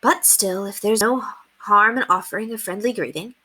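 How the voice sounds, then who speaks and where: A woman reading a children's book aloud as an expressive storyteller. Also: medium pitch, normal speed, husky, low-quality recording.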